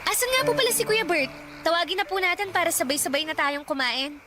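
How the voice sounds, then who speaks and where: A woman's voice: extremely high pitch, fast, loud music behind the voice. A woman playing an earnest, determined young granddaughter in a Tagalog radio drama, with an emotional, pleading delivery. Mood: casual concern.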